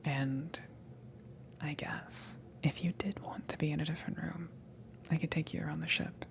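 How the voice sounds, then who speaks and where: A woman plays a friendly alien crewmember in an intimate ASMR roleplay. Her voice is low and gravelly with fry, often slipping into a soft whisper. She talks haltingly, awkward and easily flustered. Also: steady tone. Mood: reassuring, weary. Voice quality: soft, breathy half-whisper. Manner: hesitant, awkward, giggly, halting.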